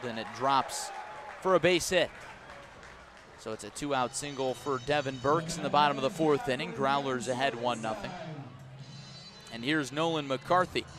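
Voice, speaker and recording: A man's voice: mid-range voice, moderate pace, music in the background. A man doing live radio play-by-play of a baseball game, moving between clipped calls and conversational asides. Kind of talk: live radio sports commentary. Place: outdoor ballpark broadcast.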